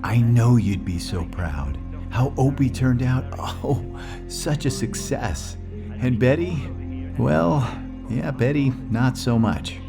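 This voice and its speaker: An actor playing a dotty, sweet elderly widowed father in an audio comedy, with a halting, doddering way of talking. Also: mid-range voice, animated, vocal fry, over music. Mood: wistful. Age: older. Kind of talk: comedy audio drama acting.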